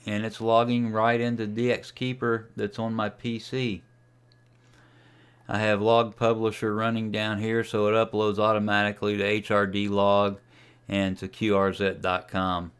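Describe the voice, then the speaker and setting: A man giving a casual, folksy tutorial as a ham radio hobbyist, talking through a software demo in an unhurried way. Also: lowish voice, smooth. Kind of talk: casual screen-share tutorial.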